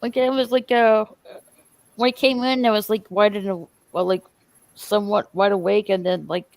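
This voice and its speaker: Hesitant woman with a gravelly, dry voice. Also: medium pitch, fairly even intonation, normal speed, darkish tone, dry recording. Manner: hesitant, lots of fillers. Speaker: guest content creator. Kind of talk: casual livestream chat.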